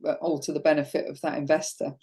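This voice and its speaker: A woman host with a croaky voice, speaking candidly about her own experience and asking questions in a halting, personal way. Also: deep voice, flat delivery, dark tone. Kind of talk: conversational interview.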